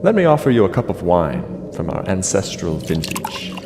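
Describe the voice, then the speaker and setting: An actor playing a vengeful, gloating king in a classical tragedy, measured and theatrical with mock courtesy. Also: sits low, sing-song, noisy background. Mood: falsely gracious. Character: vengeful gloating king, falsely gracious to his brother. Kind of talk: classical tragedy stage acting. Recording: long hall reverb, music underneath.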